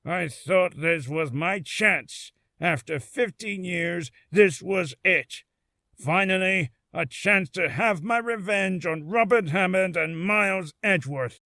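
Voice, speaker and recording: A man voicing a grimly vengeful, aging former bailiff from a video game, grave and deliberate. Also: high voice, sharp tone, dry recording, full character voice. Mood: bitter, vengeful. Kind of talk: video game character voice acting.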